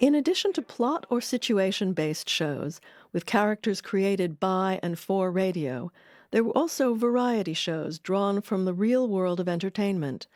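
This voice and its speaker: A woman's voice: mid-range voice, animated, a little slow, a little mellow, dry recording, soft background music. A woman reading scripted documentary narration with wry personal asides.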